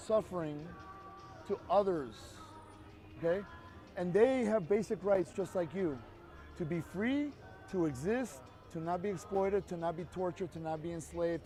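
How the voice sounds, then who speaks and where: Street activist, male, high voice, emphatic and lecturing, outdoors with faint music behind. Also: darkish tone. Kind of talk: persuasive street conversation.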